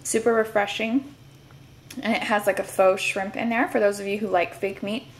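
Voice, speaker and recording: A woman giving a relaxed, chatty food review at her kitchen counter, with some fillers. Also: medium pitch, normal speed, rough recording. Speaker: food vlogger. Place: home kitchen counter, indoors.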